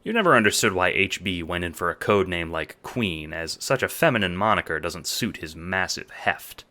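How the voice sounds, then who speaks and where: A man's voice: low-pitched, expressive, normal speed, pushed and bright. A man narrating a scripted audio drama in the second person, with dramatic storytelling delivery.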